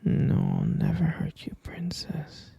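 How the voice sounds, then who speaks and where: A man voicing an obsessive, possessive young boyfriend who keeps his partner captive, talking in a soft, coaxing whisper close to the mic. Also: slow pace, no room sound, muffled recording, natural voice. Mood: sweetly obsessive. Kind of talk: whispered ASMR roleplay.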